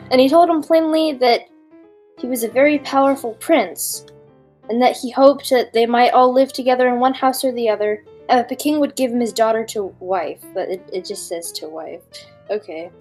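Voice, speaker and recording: Woman, highish voice, informal podcast storyteller who giggles and comments as she reads, music underneath. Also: normal speed, darkish tone. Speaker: podcast co-host reading a fairy tale aloud. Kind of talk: informal story reading with casual asides.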